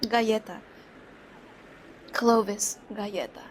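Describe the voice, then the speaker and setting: A woman playing a guarded witness in a game drama, answering in a halting, terse way. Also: medium pitch, fairly even intonation, noisy background, lightly acted. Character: guarded female shop employee, murder witness.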